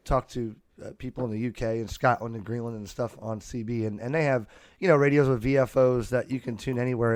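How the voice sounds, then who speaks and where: A man's voice: mid-range voice, dry recording. Casual man hosting, dry close sound. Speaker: podcast host. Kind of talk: casual unscripted hobby chat. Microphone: close USB podcast microphone.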